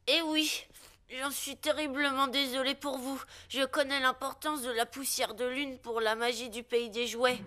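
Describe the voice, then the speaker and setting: Bright, high-voiced little moon caretaker. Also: female voice, fairly even intonation, normal speed. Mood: apologetic. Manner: courteous, earnest. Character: gentle, polite moon caretaker with a cold. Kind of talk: French children's cartoon dubbing.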